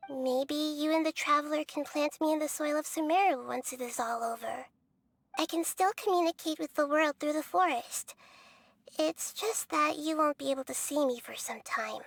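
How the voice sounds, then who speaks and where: A small girl goddess for a game: high, soft and calm, reassuring and selfless. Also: normal speed, vocal fry. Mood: resolute. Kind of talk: game cutscene voice acting.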